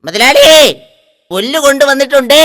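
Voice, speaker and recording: A silly tipsy cart driver. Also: male voice, very high-pitched, lively intonation, moderate pace. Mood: eager. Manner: slurred, singsong drunken crooning. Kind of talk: cartoon voice acting. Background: background music.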